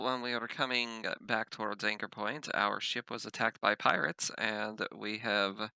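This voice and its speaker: Casting: male player as courteous female ship captain, formal, very bright forceful tone, gravelly edge. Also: lowish voice, no echo, exaggerated voice, poor audio. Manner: formal, measured.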